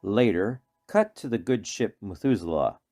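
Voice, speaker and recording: A man's voice: lowish voice, expressive, moderate pace, mellow. A man reading scripted narration for a radio play in a dramatic storytelling manner.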